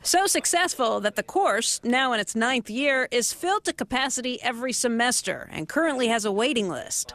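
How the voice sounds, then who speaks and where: A woman's voice: a little high, expressive, moderate pace, hardly any pauses, fairly bright tone. A woman reporter delivering scripted documentary narration.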